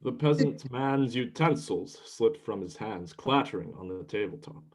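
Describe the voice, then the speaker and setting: A man's voice: fairly low pitch, animated, normal speed, very noisy. A man reading scripted narration for a dramatic reading of a myth play.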